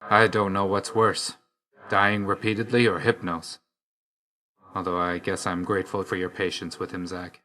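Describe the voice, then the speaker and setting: A man playing a grim, aloof god of death in a video game, terse and deadpan, stern yet grudgingly loyal. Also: low voice, moderate pace, poor audio. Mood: dry, reserved. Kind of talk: scripted game character lines.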